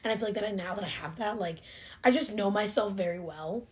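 A woman's voice: mid-range voice, fast talker. A nineteen-year-old woman in a casual chat, earnest and self-reflective, saying "like" all the time. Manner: chatty, heavy use of "like", earnest and self-reflective.

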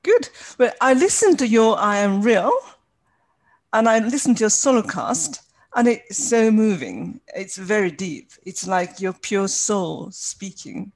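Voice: medium pitch, lively intonation, a little slow, vocal fry. A podcast host interviewing a guest, pressing with follow-up questions.

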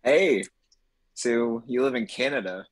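A man from Texas with a light Texan accent that shows in some drawn-out vowels. He chats in a relaxed way and laughs easily. His voice is recorded dry and close. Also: medium pitch, no echo. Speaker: conversation partner. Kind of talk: casual chat.

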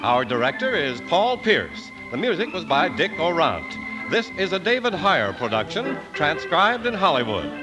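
Casting: 1950s radio announcer, male, high pitch, deliberate pace, animated sponsor reads. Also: rough recording. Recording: old radio broadcast with music.

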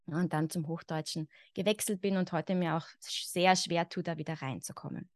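Woman in her thirties, Austrian German accent, lowish voice, podcast guest. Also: steady tone, moderate pace, no room sound, clean studio sound. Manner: reflective, fills with "genau" and "ja". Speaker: guest expert. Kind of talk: podcast conversation.

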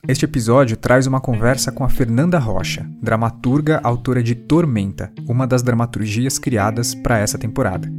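A man's voice: mid-range voice, normal speed, hardly any pauses, music in the background. A Brazilian man hosting a podcast, introducing and interviewing in a conversational way.

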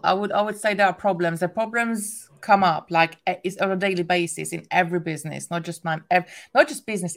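Chatty woman with a Hungarian accent. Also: medium pitch. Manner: chatty, many restarts and "like" fillers, laughs often. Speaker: guest, craft business owner. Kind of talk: casual interview chat.

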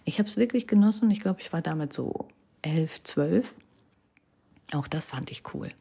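A woman's voice: sits low, animated, moderate pace, gravelly, mellow, slightly rough. A woman in her thirties telling personal stories in a casual chat, often tagging sentences with a questioning "ne?" and dropping into whispers. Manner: chatty, keeps adding "ne?", drops to whispers. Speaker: vlogger.

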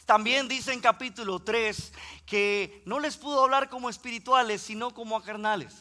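High, bright Costa Rican preacher. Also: male voice, moderate pace. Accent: Costa Rican Spanish. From Costa Rica. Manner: builds in intensity when preaching, reads Scripture aloud. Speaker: guest preacher. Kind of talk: sermon.

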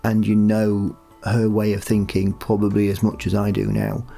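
Low-pitched man in casual conversation. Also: big pitch swings, normal speed, gravelly, mellow, faint music behind. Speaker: audio drama writer. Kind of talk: casual interview chat.

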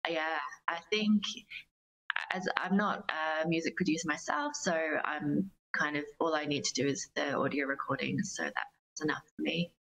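A woman giving considered, measured answers in a conversational interview as a singer-songwriter guest. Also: sits low, creaky, fairly bright tone, low-quality recording. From Australia.

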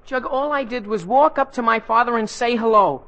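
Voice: medium pitch, moderate pace, a bit bright, natural voice. An actor playing an earnest, melodramatic teenage boy in a 1940s radio comedy, with a hesitant, plaintive manner. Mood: despondent, indignant. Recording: muffled 1940s radio broadcast recording.

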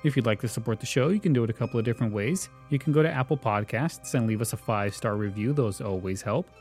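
A man's voice: medium pitch, fast talker, a little mellow, faint music behind. A man hosting a podcast, talking casually and directly to his listeners. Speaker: podcast host.

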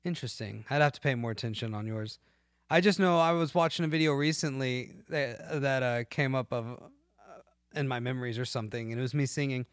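A man's voice: medium pitch, brisk, clean tone, no room sound. A man hosting a podcast, chatting casually about music and often searching for his words. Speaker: podcast host. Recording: dry, music playing behind.

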